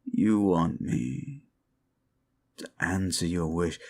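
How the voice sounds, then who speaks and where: A man playing a sly, mocking monster demon in an audio roleplay, with a theatrical, drawn-out, taunting delivery. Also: deep voice, steady tone, darkish tone. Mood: savoring, menacing. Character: sly, mocking classic monster demon, male, summoned to grant wishes. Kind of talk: scripted audio roleplay, ASMR.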